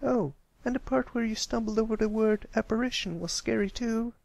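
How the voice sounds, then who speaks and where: A man voicing a sweet, excitable and slightly timid little pony filly in a storybook reading. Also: high voice, a little fast, gravelly, no echo, pitched above the performer's own voice. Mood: hesitant.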